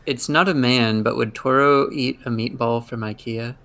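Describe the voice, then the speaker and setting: A man's voice: medium pitch, fairly even intonation, normal speed, fairly bright tone. A man chatting casually as a player in a tabletop game. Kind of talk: casual chat. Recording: noisy mic with clicks.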